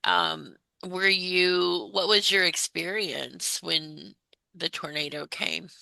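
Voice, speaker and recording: A woman's voice: fairly low pitch, animated, slow pace, creaky, pushed and bright, no echo. A woman interviewer asking casual questions, tentatively rephrasing them as she goes.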